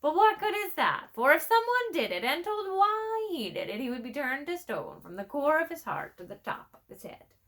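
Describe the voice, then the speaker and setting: A woman voicing a grim, knowing raven in a storybook, measured and ominous as it foretells doom. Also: high-pitched, big pitch swings, fairly bright tone, pitched above the performer's own voice. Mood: fatalistic. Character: grim, knowing raven who relishes worse news. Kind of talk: storybook read-aloud.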